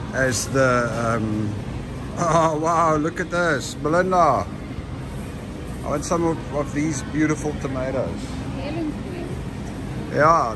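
Slow-talking South African man. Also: a little high. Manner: chatty, musing aloud, frequent ums. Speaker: vlogger. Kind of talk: casual walking vlog. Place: large indoor supermarket. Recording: loud store hum behind voice.

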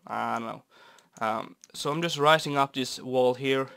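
A young man from Norway, raspy and stuffy from a cold, rambling easily with many fillers while commenting on a building game. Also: mid-range voice, measured pace. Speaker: Minecraft builder. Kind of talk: casual gameplay commentary. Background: soft guitar music.

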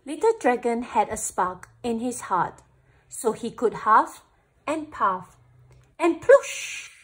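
A woman storyteller reading a picture book aloud for children, with animated, deliberate phrasing. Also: fairly high pitch, breathy edge.

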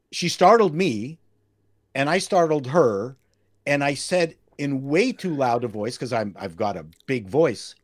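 An older man from Canada tells stories animatedly as a guest, speaking at a medium pitch on a desk mic. The sound is dry and close. He acts out quotes as he goes. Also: no echo. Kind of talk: casual anecdote telling. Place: home room with bookshelves. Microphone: desk mic on a video call.

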